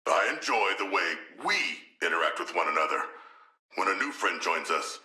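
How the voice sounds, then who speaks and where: A huge humanoid shark from a video game, with a very low, hard-edged male voice in an echoing space. He is a proud but gentle scholar of a villain, choosing formal words and delivering them deadpan. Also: exaggerated voice. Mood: earnest, thoughtful. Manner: formal, eloquent, measured, deadpan diction. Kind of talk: video game character voice lines.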